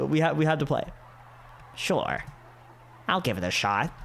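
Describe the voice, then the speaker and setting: A man's voice: a little high, vocal fry, slight background noise. A man hosting a visual novel read-through, moving between narration and casual chat. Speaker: host of visual novel readings.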